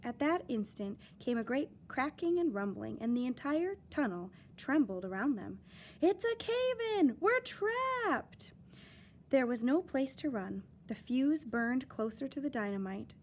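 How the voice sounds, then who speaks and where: A woman teacher reading a children's picture book aloud, with lively, expressive storytelling and dramatic emphasis. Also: a little high, normal speed, hardly any pauses, slight background noise.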